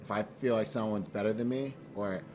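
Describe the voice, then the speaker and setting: A man's voice: fairly low pitch, brisk. A man from Boston and Nigeria talking casually as an interview guest, earnest and slangy, often repeating phrases for emphasis. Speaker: fashion brand founder, interview guest. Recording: clear background noise, room ambience.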